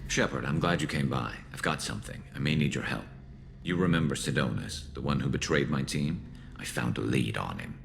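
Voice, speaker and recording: A haunted, vengeful alien mercenary in a video game. The voice sits extremely low with a heavy gravelly creak and a metallic flanged edge. The delivery is measured, dry and brooding, over faint background ambience. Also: male voice, expressive, a bit bright, a little hiss or hum, heavily acted. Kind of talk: video game dialogue acting.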